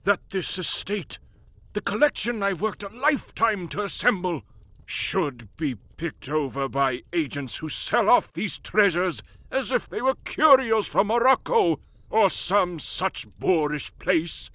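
Man putting on a gruff old lord. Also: high-pitched, animated, sharp tone, no echo, pitched above the performer's own voice, full character voice. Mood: indignant. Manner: slow, growling delivery. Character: old male lord, gruff, bitter and proud.

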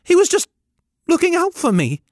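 A man's voice: very high voice, wildly swooping pitch, moderate pace, dry recording, clean studio sound, voice pushed up, heavily acted. A man reading an audiobook, voicing a lonely, earnest young boy who pleads in ungrammatical country speech. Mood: upset.

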